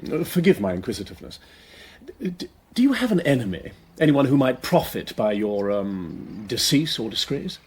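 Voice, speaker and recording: An actor playing an eager, courteous aristocratic detective with an upper-class English accent in a British radio drama. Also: male voice, mid-range voice, big pitch swings, moderate pace, breathy edge. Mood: politely probing. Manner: urbane, courteous, hesitant musing.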